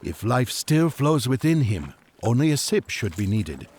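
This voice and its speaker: An actor playing an earnest, devout Magian traveler on an urgent quest, speaking in solemn, formal, old-fashioned language for an audio drama. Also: male voice, mid-range voice, sing-song, unhurried, background noise.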